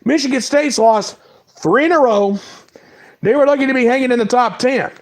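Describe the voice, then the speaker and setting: A man with a cold giving a casual spoken recap of basketball results, rattling off stats in quick lists. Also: extremely high pitch. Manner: reads stats in quick lists, apologizes for mispronouncing names. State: has a cold. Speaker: sports talk host. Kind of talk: casual sports recap monologue.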